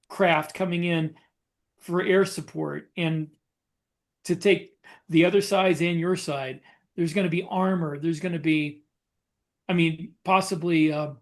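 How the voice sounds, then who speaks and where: A man co-hosting a writers' podcast, explaining things in a casual panel discussion. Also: a little high. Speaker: podcast co-host and military science fiction author.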